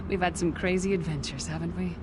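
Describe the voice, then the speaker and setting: A woman's voice: lowish voice, quick pace, noisy background, natural voice. An actress playing a hardy, brave warrior woman in a fantasy game, plainspoken and sincere with a wistful streak. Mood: wistful, resolute. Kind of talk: dramatic fantasy video game acting.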